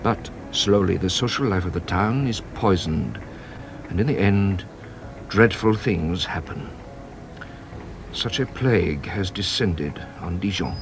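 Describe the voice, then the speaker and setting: French detective inspector, low voice that swoops around, teasing and dramatic, radio play. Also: male voice, animated, noisy background. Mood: grave, dramatic. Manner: teasing, theatrical, deliberate dramatic pauses. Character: shrewd, playful, theatrical French male police inspector. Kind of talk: British radio drama acting.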